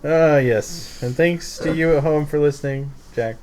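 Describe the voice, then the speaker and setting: A man's voice: a little high, a little slow, background noise. Young guy hosting a podcast with his buddies, laughs a lot, goofy crude humor. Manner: laughs often, crude jokes. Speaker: podcast host. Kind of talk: casual chat.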